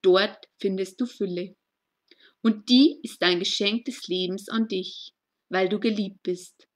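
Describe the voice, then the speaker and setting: A woman recites poetry at a medium pitch. She speaks in short, deliberate phrases and leaves space between them. Her delivery is earnest and reflective throughout. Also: fairly even intonation. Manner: slow, deliberate phrasing with pauses. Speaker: poet reading own poem. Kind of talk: spoken poetry reading.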